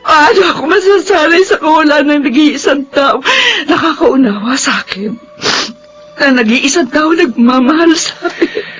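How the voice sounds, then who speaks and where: An actress playing an exhausted, lonely grieving daughter in a radio drama, halting and plaintive as she cries. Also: high-pitched, faint music behind, natural voice, low-quality recording. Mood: tearful despair. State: tearful, crying.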